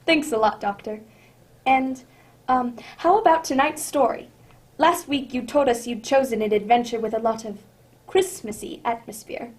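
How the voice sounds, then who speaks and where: Lady playing chummy old-time radio host, highish voice, friendly polished patter. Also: normal speed, a little mellow, lightly acted. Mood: friendly, eager. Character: Mister Bartell, affable radio announcer and eager guest.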